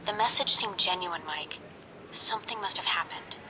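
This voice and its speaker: A woman playing a composed, cautious intelligence handler in a video game, speaking in a measured, businesslike way. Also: fairly low pitch, background noise, natural voice. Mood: worried, cautioning. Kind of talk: video game cutscene acting. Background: prominent music.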